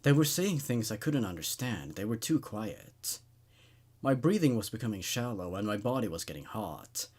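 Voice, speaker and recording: Man with lively, swinging pitch. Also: moderate pace. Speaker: host and narrator of a horror story reading show. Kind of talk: dramatic story narration and laid-back commentary.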